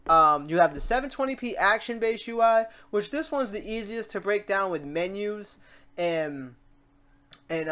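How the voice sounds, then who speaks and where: A man hosting a casual software tutorial, talking off the cuff in an informal, chatty, rambling way and often repeating himself. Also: high voice, clean tone. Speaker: host of a software tutorial series. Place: voice-over to a screen recording.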